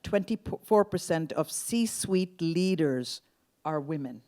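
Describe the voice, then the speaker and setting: A woman's voice: sits low, lively intonation, slow pace, mellow. A woman speaking as a panelist, earnest and emphatic, citing figures and urging unity. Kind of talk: panel discussion.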